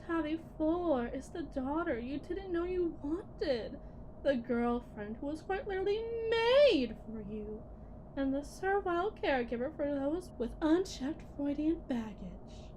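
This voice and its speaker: Condescending, menacing female corporate technician woman acting, high-pitched, unhurried, theatrical ASMR roleplay delivery over faint noise. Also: lively intonation, darkish tone, low-quality recording. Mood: smug, patronizing. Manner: theatrical, patronizing, deliberate with dramatic pauses. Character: condescending, menacing female lead technician at shady robot company. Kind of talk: scripted ASMR roleplay monologue.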